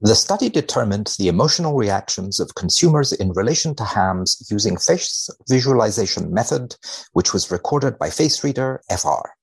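Husky, expressive man with a creaky edge. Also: on the low side, moderate pace. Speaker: guest, biomedical researcher. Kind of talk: reading research aloud and commenting.

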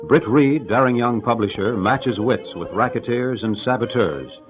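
A man announcing an old radio drama in an emphatic, declamatory voice. The recording is dull and lo-fi. Clear orchestral music plays behind him. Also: medium pitch, moderate pace. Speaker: announcer and narrator.

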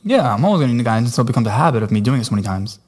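A man chats as a podcast guest and talks about himself in a self-deprecating way. He laughs a lot between lines. His voice is plain and mid-pitched. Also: sing-song, rapid-fire, darkish tone, slightly rough. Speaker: podcast guest, tattoo artist. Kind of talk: casual chat.

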